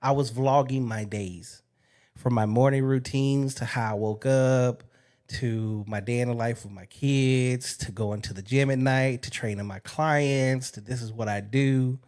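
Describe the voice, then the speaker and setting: A man's voice: mid-range voice, fairly even intonation, a little slow, a little mellow. A man hosting a podcast, talking in a frank, casual way and swearing freely. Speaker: podcast host. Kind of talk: casual interview chat.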